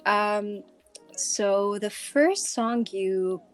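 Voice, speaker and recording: A woman's voice: mid-range voice, drawn-out words, soft background music. A woman hosting a podcast, asking a guest questions in a casual conversational way. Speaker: podcast host, interviewer.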